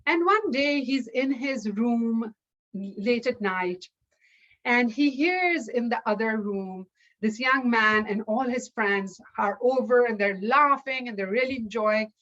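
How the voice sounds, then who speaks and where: Female folktale storyteller, very unhurried and deliberate, higher register, dull lo-fi sound. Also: a bit bright. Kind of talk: oral folktale telling.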